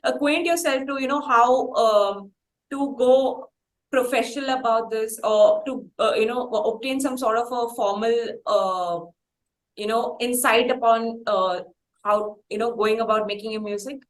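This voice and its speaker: A woman's voice: fairly high pitch, measured pace. A woman with an Indian accent hosting a casual interview, asking wordy, roundabout questions. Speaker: podcast host and interviewer. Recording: roomy, echoing sound.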